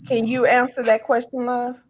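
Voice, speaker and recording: Higher-voiced woman hosting a talk show. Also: little pitch movement, normal speed. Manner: affirming, addresses guests affectionately. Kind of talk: casual, conversational hosting of a panel.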